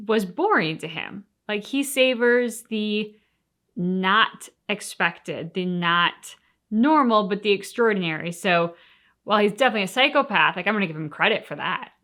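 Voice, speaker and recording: A woman's voice: medium pitch, animated, moderate pace, fairly bright tone. A woman hosting a reaction video, giving casual, thoughtful commentary and explaining her view.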